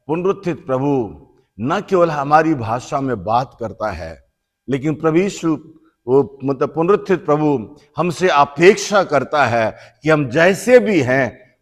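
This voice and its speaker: Male bishop, sermon delivery, high pitch, unhurried, emphatic repetition, big reverberant church. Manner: slow, emphatic, rising to loud repeated phrases then dropping to soft. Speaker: bishop preaching.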